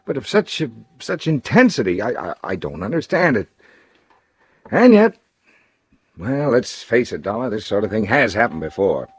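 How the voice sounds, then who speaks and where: An actor playing a troubled, defensive doctor in classic radio drama, speaking in an emphatic, halting way. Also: male voice, lowish voice, very animated, slightly rough, no room sound.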